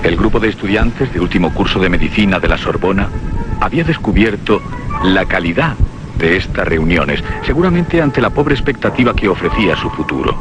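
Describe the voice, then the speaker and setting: An actor as the grave narrator of a radio horror tale, reading literary prose with a Castilian Spanish accent. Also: male voice, low voice, very animated, fairly quick. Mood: measured, ominous. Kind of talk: scripted literary suspense narration.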